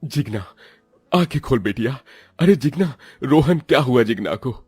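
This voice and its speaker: A man's voice: mid-range voice, animated, normal speed, darkish tone, slightly rough, no echo, clean studio sound, soft background music. Man as an urgent, worried village grandfather. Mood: alarmed. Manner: urgent, concerned.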